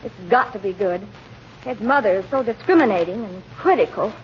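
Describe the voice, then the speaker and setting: An actress playing a sweet, earnest young niece who is eager to please, in 1940s radio comedy. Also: female voice, medium pitch, expressive, a little fast, lightly acted. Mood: anxious, bright. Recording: muffled, noisy old radio recording.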